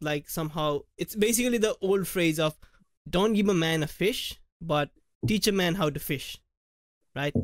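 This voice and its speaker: A man's voice: fairly high pitch, no room sound. A young man hosting a podcast from a home studio, thoughtful and searching for words with "like" and "right?". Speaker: podcast host. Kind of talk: podcast interview. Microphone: large studio mic with headphones.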